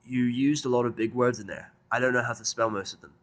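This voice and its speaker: Little male dragon, man acting, childlike, eager helper, raised pitch, cartoon audio drama. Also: steady tone, quick pace, fairly bright tone, lightly acted. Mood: reluctant.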